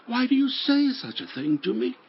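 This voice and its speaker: A man's voice: extremely high pitch, big pitch swings, moderate pace, slightly rough, heavily acted. An actor playing a humble, earnest young man in a dramatized audio Bible, with a questioning delivery. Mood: humble, disbelieving. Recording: dull lo-fi audio.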